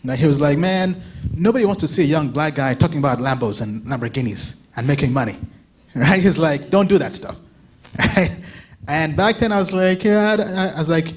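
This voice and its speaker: A young man giving a casual conference talk, often checking in with a quick "right?" and restarting his sentences. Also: on the high side. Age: young adult. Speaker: crypto analyst giving a talk.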